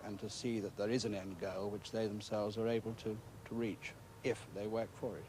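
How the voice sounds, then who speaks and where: A middle-aged British man giving measured interview answers, formal and careful, with hesitant phrasing. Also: lowish voice, level tone. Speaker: school staff member interviewed. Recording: clear background noise on location.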